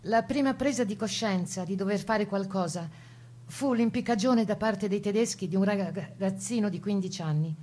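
A woman playing Italian partisan women who recall the Resistance, reading their testimony for radio in an earnest, measured way. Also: mid-range voice, mellow, close to an everyday voice. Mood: grave, resolute.

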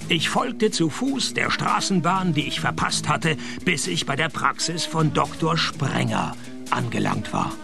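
An actor playing a grumpy, world-weary private detective in a comic radio play, with dry, sarcastic wit. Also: male voice, on the high side, animated, measured pace, vocal fry, over music, full character voice. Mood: wry narration. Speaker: detective narrator. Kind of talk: comic radio play acting and first-person noir narration.

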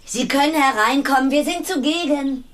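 An actress playing a brisk, bossy and suspicious woman in a German radio play, direct and questioning. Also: high-pitched, a little hiss or hum, close to an everyday voice. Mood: urgent calling.

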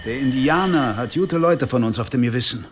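An actor playing a gnarled, crafty army sergeant in a German radio drama, with a clipped, deferential military manner. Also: male voice, mid-range voice, fairly quick, a little hiss or hum, natural voice. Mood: firm, formal. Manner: military, clipped, matter-of-fact.

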